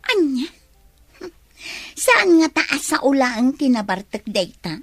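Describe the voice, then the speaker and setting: A high-pitched young schemer, evasive in manner. She has a radio drama delivery, recorded very dry. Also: big pitch swings, slow, no room sound, exaggerated voice. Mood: indignant.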